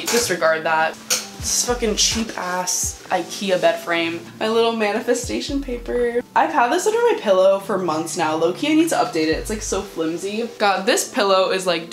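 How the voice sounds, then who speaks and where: A woman in her early twenties chatting frankly to the camera in a casual vlog from her bedroom, swearing now and then. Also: medium pitch. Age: early 20s. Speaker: vlogger. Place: bedroom at home, indoors. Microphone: camera on a stand, she moves around the room.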